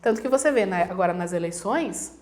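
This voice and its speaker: A woman from Brazil speaks as a guest professor in a relaxed interview. Her voice sits in a middle pitch. She explains step by step and slips into little quoted voices for her examples. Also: fairly quick. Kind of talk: conversational explaining.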